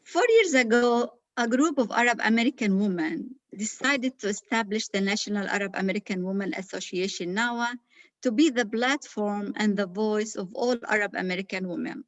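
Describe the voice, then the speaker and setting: A woman's voice: mid-range voice, moderate pace, no room sound. Woman from Palestine, formal earnest presenter; dull lo-fi audio. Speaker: association president, presenter. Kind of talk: conference presentation.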